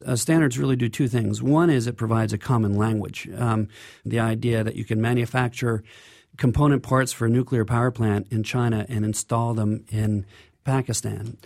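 A man's voice: medium pitch, fairly even intonation, normal speed, creaky, a little mellow, no echo, clean studio sound. A man speaking as an engineer guest in an interview, explaining things in an earnest way.

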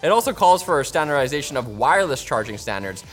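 A man's voice: mid-range voice, big pitch swings, quick pace, fairly bright tone. A man hosting tech news, reading a script with playful, sarcastic asides.

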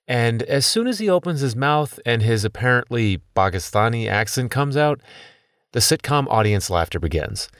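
A man's voice: mid-range voice, big pitch swings, normal speed, fairly bright tone, no echo, studio quality. A man in his thirties, a podcast host telling a personal story in scripted documentary narration.

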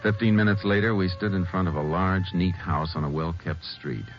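An actor playing a determined, plain-spoken Texas Ranger in 1950s radio drama style, terse, grave and courteous. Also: male voice, deep voice, steady tone, normal speed, soft background music. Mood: grim resolve. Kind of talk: 1950s radio western acting and narration. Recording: muffled, noisy 1950s radio recording.

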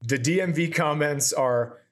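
A man's voice: fairly high pitch, measured pace, fairly bright tone, a little hiss or hum. A comedian hosting a podcast in easy, casual talk. He reads items out and then riffs on them bluntly, with crude jokes and an everyday voice.

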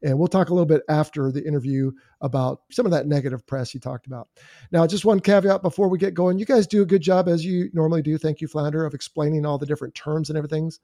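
A man hosting a podcast, chatty and lively as he sets up the next segment. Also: on the high side, fast talker, no echo, studio quality. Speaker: podcast host. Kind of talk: show intro chat.

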